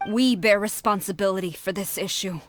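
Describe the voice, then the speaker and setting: A woman's voice: mid-range voice, brisk, faint music behind, natural voice. A woman playing a stern, dutiful, proud military commander in a video game, speaking formally and with authority. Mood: apologetic.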